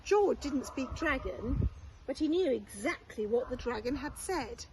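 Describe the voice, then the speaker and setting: Woman reading a kids bedtime story, highish voice, slow n careful, bit of a noisy recording. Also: animated. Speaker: primary school teacher reading a bedtime story. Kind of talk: expressive children's storybook reading.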